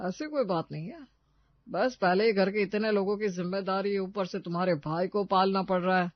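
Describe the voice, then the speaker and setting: A woman's voice: mid-range voice, moderate pace, no echo, highs cut off, close to an everyday voice. A woman voicing a grudging, calculating married brother-in-law in a cartoon story, talking hesitantly.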